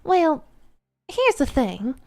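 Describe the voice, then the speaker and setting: A woman doing a breezy, chillingly calm gravedigger. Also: sits high, extremely slow, voice pushed up, heavily acted. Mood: breezy unsettling. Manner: breezy, sing-song, falsely friendly.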